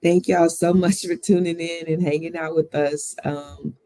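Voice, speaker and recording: A hoarse woman co-hosting a casual sports talk show, losing her voice to a cough. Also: fairly low pitch, steady tone, measured pace. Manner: apologetic, warm sign-off. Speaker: co-host.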